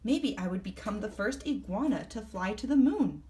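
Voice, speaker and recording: A woman reading a children's story aloud in first person, expressively and deliberately, acting out the tale. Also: medium pitch, expressive, dark tone. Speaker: storybook reader.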